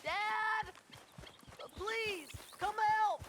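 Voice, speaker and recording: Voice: very high-pitched, very slow, sharp tone, slight background noise, exaggerated voice. A performer playing an earnest, cheeky young frontier boy with an American Western accent, in a video game. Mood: panicked. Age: child.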